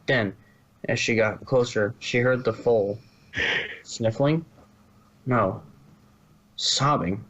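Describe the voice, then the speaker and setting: A man's voice: medium pitch, slow pace, pauses often, fairly bright tone, lo-fi sound. A man reading scripted story narration, now and then stumbling and rereading a phrase.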